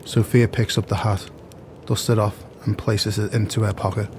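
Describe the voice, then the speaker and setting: Guy narrating an audio play, low flat voice, measured stage directions. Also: a little hiss or hum.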